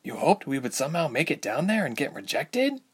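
A man's voice: fairly high pitch, big pitch swings, fairly quick, slightly rough, voice pushed up. A man voicing an earnest, anxious young woman adventurer in a fantasy storybook. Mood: questioning.

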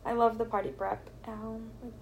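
Giggly young woman with a gravelly voice. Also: fairly high pitch, little pitch movement, noisy background. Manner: giggly, quick reactions. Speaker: podcast co-host. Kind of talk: casual chat.

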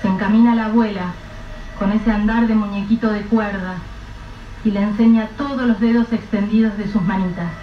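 A woman's voice: mid-range voice, fairly even intonation, slight background noise. Grave woman narrating a radio drama. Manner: measured, grave, storytelling. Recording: roomy, dull, distant mic with soft music.

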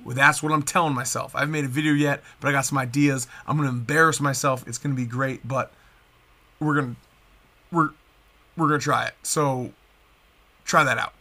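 A man's voice: mid-range voice, steady tone, a little fast, pauses often, pushed and bright. A man in his thirties hosting his own podcast in a chatty, upbeat, rambling way, his voice stuffed up and nasal from a cold. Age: 30s. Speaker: podcast host. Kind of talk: casual solo podcast chat.

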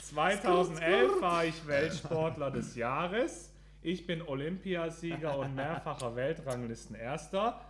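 Steady young man hosting a quiz. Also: on the high side, measured pace. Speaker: quiz host. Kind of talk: casual podcast quiz game.

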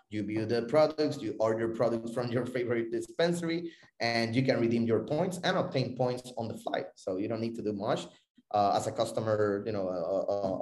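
A man with a Puerto Rican accent answering interview questions about his business, halting and repeating words as he searches for phrasing. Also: lowish voice, darkish tone. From Puerto Rico. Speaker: interview guest, tech company CEO. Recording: roomy, echoing voice recording.

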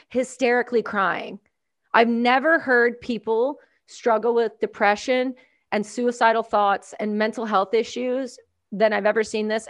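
A woman from Canada, hosting a casual podcast chat, who talks bluntly, swears freely and rants. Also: mid-range voice. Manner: blunt, profane, ranting.

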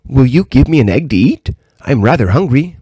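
A man's voice: mid-range voice, expressive, fairly quick, dark tone, husky, no room sound, pitched above the performer's own voice. A man voicing a curious, eager little princess, an innocent girl full of questions. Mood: delighted.

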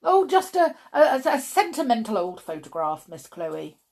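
A woman voicing a polite, fussy, greedy old gentleman tramp in a children's storybook. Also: on the high side, sing-song, slow, voice pushed up, exaggerated voice. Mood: flustered. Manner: fussy, courteous, stammering when flustered.

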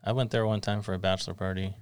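A man's voice: deep voice, flat delivery, racing, clean tone, no echo. A middle-aged man hosting a casual interview podcast in an office studio, rambling a little with lots of fillers. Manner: rambling, friendly, many fillers. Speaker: podcast host.

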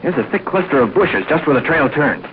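An actor playing a bold, keen all-American teenage hero in an old-time radio adventure serial, confident and earnest. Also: male voice, on the high side, lively intonation, fairly quick, lightly acted. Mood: keen, alert. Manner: clean-cut, confident, earnest. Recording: tinny, faintly noisy old radio recording.

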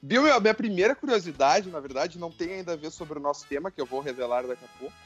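Playful male podcast host, slightly high voice. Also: animated, fast talker, fairly bright tone, breathy edge, faint music behind. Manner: playful, joking, theatrical introductions. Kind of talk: casual podcast chat.

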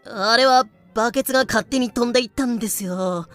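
A woman playing a cheeky, excitable young character in an audio drama, theatrical and playful. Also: a little high, a little fast, soft background music, close to an everyday voice. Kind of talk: Japanese audio drama acting.

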